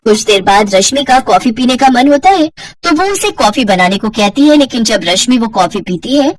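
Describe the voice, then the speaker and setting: A woman's voice: on the high side, expressive, moderate pace. A woman narrating a scripted moral story as a storyteller.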